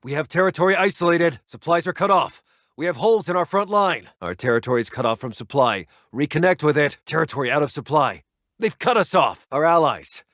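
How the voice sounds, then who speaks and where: A man playing a brisk, dutiful American army intelligence officer in a Second World War video game, giving clipped, formal reports to his commander. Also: fairly high pitch, expressive, a bit bright, no echo, studio quality. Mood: alarmed, grim. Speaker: military announcer reporting to the commander. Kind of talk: scripted video game unit voice lines.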